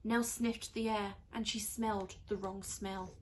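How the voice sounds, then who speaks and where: A young woman reads a rhyming children's storybook aloud in an expressive, teacherly way. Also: medium pitch, unhurried, a little hiss or hum. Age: young adult. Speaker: primary school teacher reading aloud. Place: small indoor room on a sofa.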